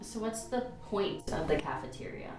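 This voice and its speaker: A woman's voice: fairly low pitch, animated, moderate pace, distant mic, lightly acted. Woman as a skeptical doctor, very echoey. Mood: wry, skeptical. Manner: wry, challenging. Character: skeptical, impatient female doctor, protective of patients. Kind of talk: radio play acting. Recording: very echoey, off mic, loud music.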